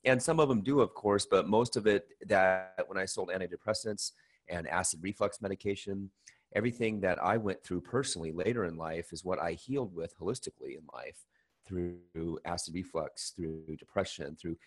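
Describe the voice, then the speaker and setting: A man's voice: low-pitched, normal speed. A man calling in to a radio chat, talking in a conversational, reflective way. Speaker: caller.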